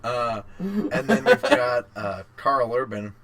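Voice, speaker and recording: A man's voice: mid-range voice, very animated, slow, fairly bright tone. A man co-hosting a casual film chat, jokey and given to riffing interjections. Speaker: podcast co-host.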